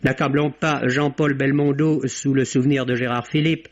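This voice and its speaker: A middle-aged man reading a polished, measured film review on an old radio broadcast. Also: mid-range voice, fairly even intonation. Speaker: film critic. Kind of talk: scripted spoken review. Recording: dull old radio recording.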